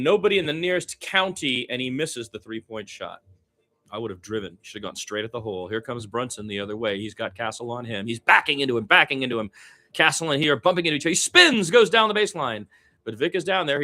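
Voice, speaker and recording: A man's voice: medium pitch, bright, forceful tone. A man doing amateur radio-style basketball play-by-play, conversational, with his own opinions mixed in.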